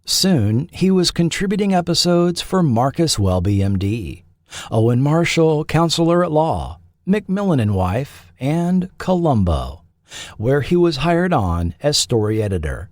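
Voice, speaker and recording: Man narrating a documentary with lively intonation. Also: mid-range voice, no room sound, studio quality. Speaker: podcast host and narrator.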